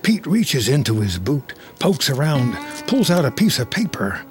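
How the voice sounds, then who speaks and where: A man's voice: mid-range voice, big pitch swings, measured pace, darkish tone, faint music behind. A man giving terse, dramatic scripted narration of a film story in the present tense.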